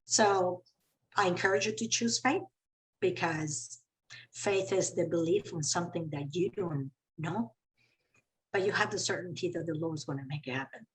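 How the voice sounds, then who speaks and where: Earnest Latin American woman, slightly low voice. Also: normal speed, low-quality recording. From Colombia. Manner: earnest, emphatic, with dramatic swings in pitch and loudness. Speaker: panelist, company CEO. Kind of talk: panel discussion talk.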